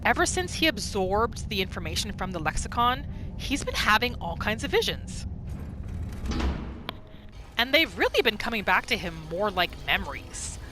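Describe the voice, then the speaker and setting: A woman hosting a gaming roleplay series, telling the story in short phrases with dramatic pauses. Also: medium pitch, sing-song, music in the background. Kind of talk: storytelling gameplay narration.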